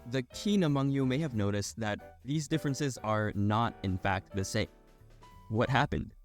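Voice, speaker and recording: A man narrating a scripted technical explainer in a clear, step-by-step manner. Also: lowish voice, a little mellow. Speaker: video tutorial narrator.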